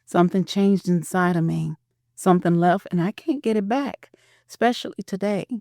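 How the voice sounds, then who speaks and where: A woman voicing a hurt, insecure middle-aged wife in an audio drama, soft-spoken, hesitant and weary. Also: fairly low pitch, moderate pace, no echo, clean studio sound, voice pushed up, natural voice.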